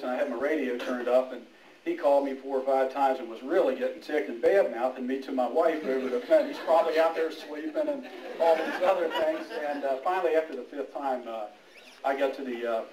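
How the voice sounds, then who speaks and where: A middle-aged man gives an informal speech to honor a colleague, with a halting, storytelling delivery. His medium-pitched voice is picked up from a distance in an echoey room with a little background noise. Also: steady tone, darkish tone. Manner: halting, anecdotal, with quoted dialogue. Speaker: police major giving a retirement speech. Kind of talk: informal speech with humorous anecdotes. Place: indoor room at a retirement party.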